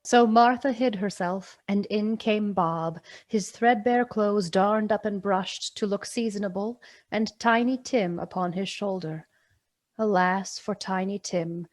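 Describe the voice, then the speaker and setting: A woman narrator reading a scripted story for a radio play in a measured, theatrical storytelling manner. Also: mid-range voice.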